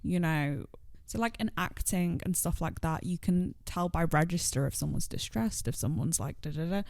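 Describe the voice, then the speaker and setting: A woman's voice: lowish voice, normal speed, darkish tone, no echo. A woman hosting a podcast interview, chatty and encouraging, with lots of casual fillers. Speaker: podcast host.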